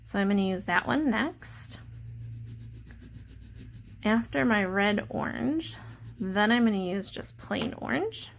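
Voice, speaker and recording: A woman's voice: medium pitch, expressive, takes it slowly, vocal fry, pauses often, slight background noise. A woman teacher talking children through an art activity step by step, in simple explanatory language. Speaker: teacher leading a drawing lesson. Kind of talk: step-by-step instructional narration for children.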